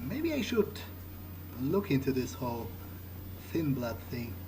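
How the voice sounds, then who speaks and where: A man's voice: mid-range voice, wildly swooping pitch, measured pace, background noise. A man role-playing a newly turned, puzzled vampire during a game playthrough, talking in a casual, questioning, hesitant way. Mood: curious, hesitant. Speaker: game player role-playing his character. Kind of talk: improvised in-character gameplay talk.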